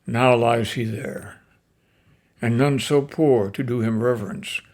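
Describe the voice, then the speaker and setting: A man reciting the speech of a grieving, persuasive Roman orator, declaiming with sudden swells and drops in loudness. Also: medium pitch, fairly even intonation, takes it slowly, close to an everyday voice. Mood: rousing. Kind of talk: dramatic recitation of a classic play speech.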